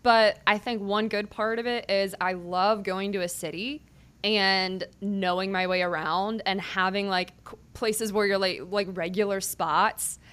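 A woman sports reporter hosts a podcast interview in a casual, chatty way. Her pitch sits in a middle range, and she leans on "like" and stops to rephrase as she talks. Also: fairly even intonation, a little slow, a bit bright, clean tone. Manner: chatty, many "like" fillers and restarts.

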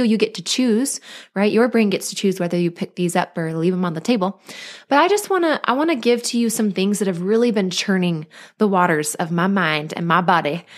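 A woman in her thirties, a mother and life coach, hosting her own podcast in an earnest, bubbly, chatty way. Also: medium pitch. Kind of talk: casual solo podcast monologue.

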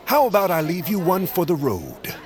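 Grumpy old male trader, higher-set and very animated, sarcastic, haggling, audio fiction. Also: normal speed, a faint crowd. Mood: sly, amused. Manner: sarcastic, gruff. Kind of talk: audio drama acting.

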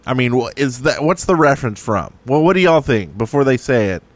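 A man's voice: mid-range voice, expressive, a little fast. A man co-hosting a podcast, chatting casually with wry banter. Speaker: podcast co-host. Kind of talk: casual chat.

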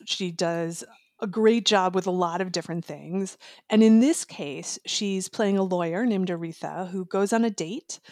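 Female book reviewer, conversational, creaky medium-pitched voice, dry recording. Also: darkish tone, dry recording. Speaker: audiobook reviewer and show guest.

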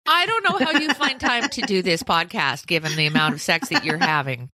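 A woman's voice: fairly low pitch, expressive, brisk, bright, forceful tone. A middle-aged woman co-hosting a podcast, chatting casually with dry, wry quips. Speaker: podcast co-host. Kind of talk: casual chat, interviewing.

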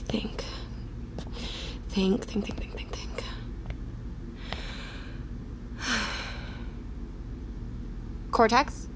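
An actress playing a desperate, frightened survivor in an audio fiction drama. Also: female voice, fairly high pitch, low-quality recording. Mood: anxious muttering. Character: desperate, frightened woman survivor, close to tears. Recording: loud music over the voice. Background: loud music.

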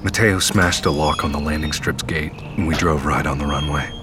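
A grave, tired male survivor telling his story in a scripted audio drama. His voice is extremely deep, and music plays clearly behind it. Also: fairly even intonation, moderate pace. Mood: grave, weary. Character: weary grave male survivor recounting a doomed escape. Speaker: narrator. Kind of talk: scripted first-person narration. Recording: music under the voice.

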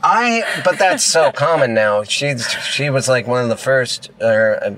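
A man's voice: medium pitch, animated, a little slow, fairly bright tone, slight background noise, low-quality recording. A man co-hosting a comedy podcast, rambling and tripping over his words for laughs in casual chat. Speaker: podcast host. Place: moved to Los Angeles from Austin.

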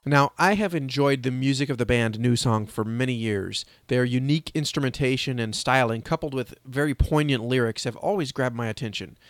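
A man's voice: medium pitch, fairly quick, hardly any pauses, dry recording. A man hosting an audio newsletter, reading scripted news in an upbeat announcer manner and then interviewing a guest. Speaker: host. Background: music.

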